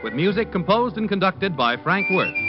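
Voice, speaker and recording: High-voiced old radio announcer, man. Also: sing-song, moderate pace. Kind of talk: scripted 1950s radio announcing. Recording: muffled old radio recording, music.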